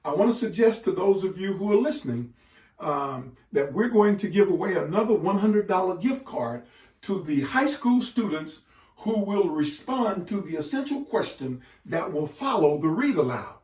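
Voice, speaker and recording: A man giving an instructional address to parents as a literacy educator, speaking emphatically and deliberately with dramatic pauses. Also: high-pitched, big pitch swings, a little mellow. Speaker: literacy educator hosting a read-aloud session for parents. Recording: echoey room, distant microphone.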